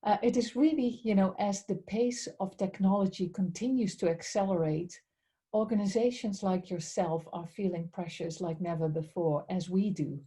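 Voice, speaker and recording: A woman's voice: medium pitch, warm tone. A woman with a Dutch accent presenting a business webinar politely, often saying how grateful she is, over a video call. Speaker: head of strategic accounts.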